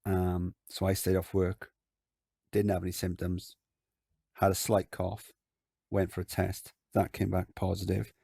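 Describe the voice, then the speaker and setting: A man with a croaky voice, hosting a casual game commentary and rambling with lots of filler words. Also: low-pitched, monotone, unhurried, mellow, dry recording, studio quality. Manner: rambling, lots of ums and likes. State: croaky voice from illness. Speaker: gaming channel host. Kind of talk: casual let's-play commentary.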